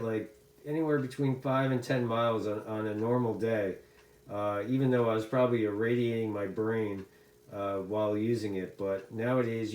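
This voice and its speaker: A man's voice: lowish voice, darkish tone. A middle-aged American man chatting casually as a CB radio hobbyist, talkative and given to repeating his phrases. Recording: echoey room sound.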